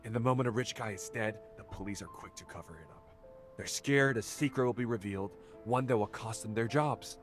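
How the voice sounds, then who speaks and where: An actor voicing an anxious, gossip-hungry middle-aged tabloid reporter in a game, nervous and dramatic in delivery. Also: male voice, on the low side, brisk, soft background music, close to an everyday voice. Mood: eager, hushed gossip. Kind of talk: English game voice acting.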